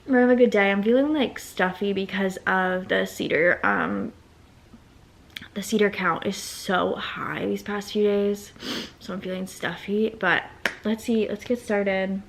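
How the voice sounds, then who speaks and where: Young woman, casual vlogger, rambly and talkative, mid-pitched voice, talking through her to-do list at home. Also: fairly even intonation, a little slow, vocal fry. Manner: chatty, rambling, thinks out loud. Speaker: vlogger and small business owner. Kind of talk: casual vlog chat.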